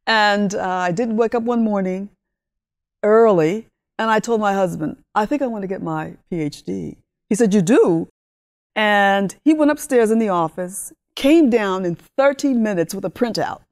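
A woman in her sixties from Honduras, with Spanish as her first language, telling her life story as an interview guest with animated enthusiasm. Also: mid-range voice, moderate pace. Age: 60s. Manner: warm, animated storytelling with laughs. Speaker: guest, ministry leader. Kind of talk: interview talk.

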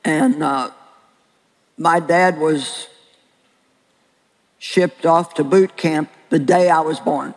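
Older woman with a very deep voice. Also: steady tone, long reverb tail. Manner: earnest, plain-spoken, with slow deliberate pauses. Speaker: preacher. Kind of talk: sermon with personal testimony. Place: large hall with an audience.

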